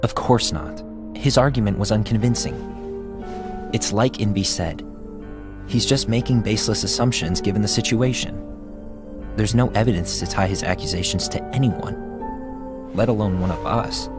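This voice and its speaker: Man acting a cool, low-voiced skeptic. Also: moderate pace, pauses often, over music, natural voice. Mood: dismissive. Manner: dry, curt, detached. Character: cool, skeptical, blunt male comrade. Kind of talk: animated game film voice acting.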